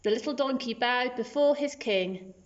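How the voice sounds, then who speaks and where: A woman's voice: a little high, moderate pace, highs cut off. A woman teacher reading a children's storybook aloud as scripted narration.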